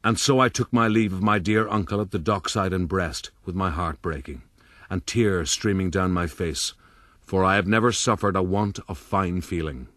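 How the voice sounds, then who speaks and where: A man's voice: sits low, normal speed, fairly bright tone, dry recording, clean studio sound. An actor playing a vain, self-pitying rogue who narrates his own life in a radio drama, theatrically.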